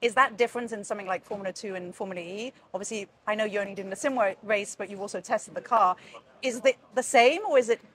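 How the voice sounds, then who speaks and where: A woman interviewing a racing driver, upbeat and enthusiastic, firing quick follow-up questions. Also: medium pitch.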